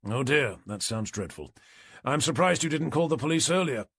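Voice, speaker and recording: A man's voice: medium pitch, lively intonation, moderate pace, a bit bright, slightly rough, no echo, voice pushed up. A man voicing a dry, wry detective inspector asking questions in an audiobook, with a British accent.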